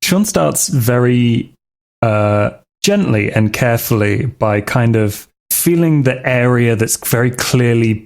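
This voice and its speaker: A man casually describing his character's moves as a roleplaying game player, in a halting, informal way. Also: fairly low pitch, creaky, a little mellow. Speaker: player on an actual play podcast.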